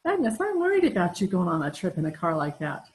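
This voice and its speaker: A middle-aged woman playing a gentle, fretful wife as the straight woman in an amateur old time radio sitcom. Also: on the low side, wildly swooping pitch, a little fast. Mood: curious, worried. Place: indoor room at home, video call. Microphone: computer mic on a video call.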